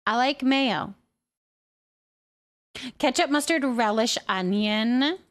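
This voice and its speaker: Girl streamer w a higher voice, playful teasing, just chatting with her viewers. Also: slow. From Canada. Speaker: livestream host chatting with viewers. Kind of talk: casual chat, reading and answering viewer comments.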